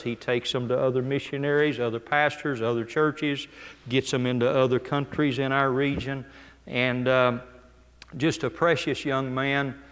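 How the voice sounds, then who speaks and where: A man's voice: medium pitch, a bit bright, smooth. A man speaking as a missionary in a church, telling stories in a plain-spoken way with many restarts and asides. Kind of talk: church talk with personal stories. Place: church sanctuary. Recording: long church hall reverb.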